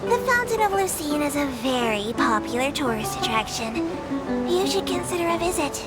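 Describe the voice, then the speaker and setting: A small creature tour guide from a video game, with a highish voice and a formal, courteous delivery. She makes announcements in precise, scripted phrases with a dry, matter-of-fact edge. Loud music plays under the voice. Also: sing-song, normal speed. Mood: composed, dryly candid.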